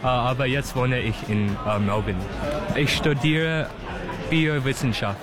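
A man's voice: mid-range voice, measured pace. A young man from Hong Kong with a foreign accent in German, not his native language, answering casually and hesitantly in an interview. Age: young adult. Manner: hesitant, word-by-word phrasing. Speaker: student being interviewed. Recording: loud music and crowd noise. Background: loud music and crowd.

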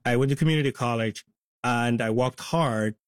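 A man's voice: medium pitch, moderate pace, no echo, clean studio sound. A man in his forties from Somalia, reflecting plainly on his past in a radio interview. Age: 40s. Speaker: interview guest.

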